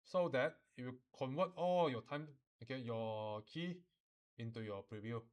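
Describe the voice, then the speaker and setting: A man gives an informal, step-by-step software tutorial to students, switching between languages and often checking that they follow. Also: medium pitch, a little slow, highs cut off. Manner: switches between Mandarin and English, repeating explanations. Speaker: animation software instructor.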